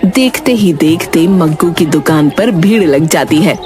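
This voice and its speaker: A woman's voice: deep voice, expressive, a little slow, music in the background. A woman reading scripted narration for a children's moral story.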